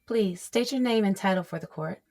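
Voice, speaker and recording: An actress playing a tough, cool prosecutor, speaking formally and with measured probing in a courtroom audio drama. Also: female voice, mid-range voice, darkish tone, a little hiss or hum, close to an everyday voice. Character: tough, cool female prosecutor working the witness.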